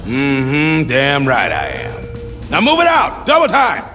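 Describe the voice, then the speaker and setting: A man's voice: on the high side, huge pitch swings, a little slow. A man acting a gung-ho, bellicose Marine sergeant in a video game, barking with swagger at his troops. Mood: smug. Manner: barking, swaggering drill-sergeant delivery with emphatic punches. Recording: game cinematic with music behind. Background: music.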